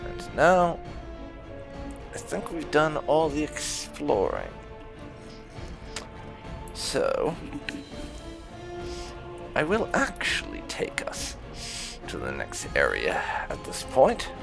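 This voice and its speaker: A man's voice: fairly high pitch, huge pitch swings, drawn-out words, vocal fry, pauses often. A man giving casual commentary on his video game playthrough. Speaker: gaming video host. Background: game music.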